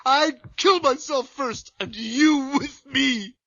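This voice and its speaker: A tormented man in a horror radio play, high-voiced and sharp with strain. He speaks slowly and brokenly, pleading in anguish, in a dry recording. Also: vocal fry, dry recording, heavily acted. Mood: shaken, desperate. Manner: desperate, pleading, stammering. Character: terrified, anguished man tormented by his dead twin. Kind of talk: horror radio drama acting. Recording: dry, slightly dull radio drama recording.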